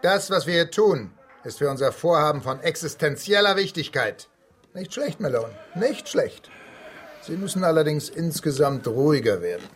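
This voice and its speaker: An actor in a German radio drama playing a swaggering, cheerful gentleman adventurer, jovial and teasing, with a husky voice. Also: a little high, big pitch swings, normal speed. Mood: breezy, mocking. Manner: jovial, teasing, old-fashioned gentlemanly turns of phrase. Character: swaggering, cheerful English adventurer and gun enthusiast.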